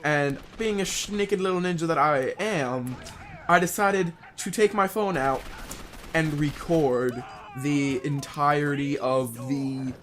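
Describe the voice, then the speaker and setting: A young man telling a casual, unscripted story in a rambling, halting way, often rephrasing himself as he goes. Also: fairly high pitch, a bit bright. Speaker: online video storyteller.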